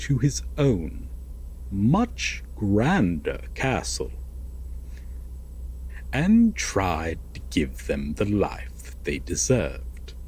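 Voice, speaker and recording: Obsessive lovesick prince played by a male actor, low breathy voice, very slow halting bedtime-story delivery for audio roleplay. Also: heavily acted, low-quality recording. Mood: wistful, tender. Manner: halting, hushed, wistful, with heavy pauses. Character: obsessive lovesick yandere prince, a captor telling a bedtime fairytale.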